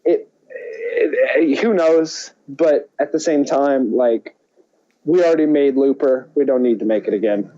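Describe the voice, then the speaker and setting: A man co-hosting a movie podcast in an animated, exclamatory, casual way, on a slightly dull recording. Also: mid-range voice, a little slow. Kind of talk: casual chat about films.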